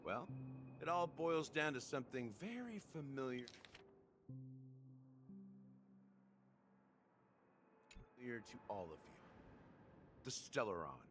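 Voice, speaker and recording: A man's voice: medium pitch, very animated, moderate pace, fairly bright tone, slight background noise. A man acting as a sly, self-assured schemer with a theatrical, casually confident delivery in a game story. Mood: frank, composed. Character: sly, self-assured schemer revealing his hidden plan. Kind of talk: dramatic game story dialogue. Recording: game music behind.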